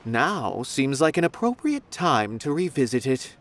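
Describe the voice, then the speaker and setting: An actor playing a proud, eloquent connoisseur of art in a video game, philosophical and grandiloquent in deliberate phrases. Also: male voice, medium pitch, very animated. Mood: provocative, smug. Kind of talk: dramatic video game voice acting.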